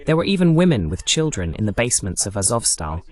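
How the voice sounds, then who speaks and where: A man's voice: fairly low pitch, sing-song, fast talker. A man hosting a political analysis monologue, explaining emphatically with rhetorical questions and lists. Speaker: host and political commentator.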